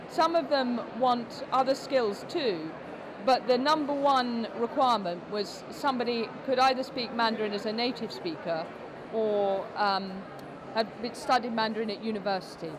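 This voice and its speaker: A woman's voice: a little high, moderate pace. A woman giving a spontaneous interview answer. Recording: clear background noise on location.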